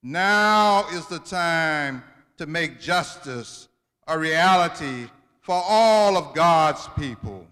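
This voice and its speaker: A man delivers a dramatic oration in a high, bright voice. He is slow and emphatic, and the sound rings long in a large hall. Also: animated, clean tone. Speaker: guest reader at a commemorative event. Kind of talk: dramatic speech reading, oratory. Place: large indoor hall at a college event. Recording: reverberant hall, long echo.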